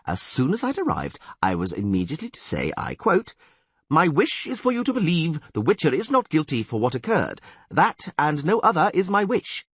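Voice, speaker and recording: A man puts on a flamboyant bard who tells things theatrically, with big, swooping pitch. The voice is husky and recorded dry and close. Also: huge pitch swings, moderate pace, a bit bright, no echo, exaggerated voice. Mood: indignant. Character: flamboyant male bard, dandyish and dramatic.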